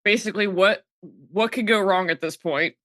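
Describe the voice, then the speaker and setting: A woman in her thirties with an American accent talks as a podcast guest. Her voice is bright and sits at a middling pitch. She is chatty and candid in casual conversation, and the sound around her is dry. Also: normal speed, no room sound. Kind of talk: casual chat.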